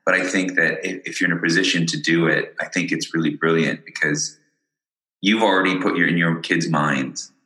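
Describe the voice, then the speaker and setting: A very deep-voiced man guests on a podcast, yet his tone comes across bright and forward. He talks earnestly and with enthusiasm, stumbling and restarting his words, and the audio has a roomy echo. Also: steady tone, normal speed. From born in Ireland, raised in Ontario, Canada. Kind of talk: casual interview chat.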